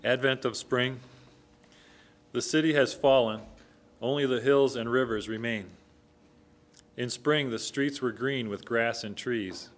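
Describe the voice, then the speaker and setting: A middle-aged man recites a poem in a measured, solemn way. His voice has an ordinary male pitch. He reads from a page into a microphone on a stand in an indoor hall. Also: fairly even intonation, slight background noise. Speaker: poetry reader. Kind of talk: poetry recitation read from paper. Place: indoor hall with brick walls, before an audience.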